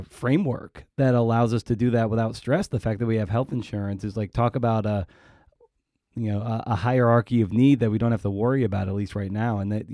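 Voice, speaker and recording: A man with a very mellow, dark voice hosts a conversational interview. He thinks aloud in wordy, halting stretches, and the sound is very dry with no room echo. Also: fairly low pitch. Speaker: podcast host, writer.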